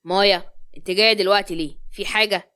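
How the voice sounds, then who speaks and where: A woman's voice: medium pitch, steady tone, fast talker, pushed and bright, clean tone, pitched below the performer's own voice, close to an everyday voice. A woman voicing a curt, easily exasperated young businessman in a story, with an Egyptian accent. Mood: impatient. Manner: curt, scolding.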